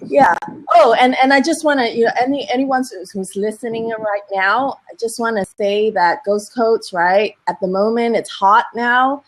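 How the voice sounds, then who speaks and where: A woman from Malaysia chatting excitedly on a group call, laughing easily. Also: on the high side, normal speed, poor audio. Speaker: call participant. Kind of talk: casual group video call.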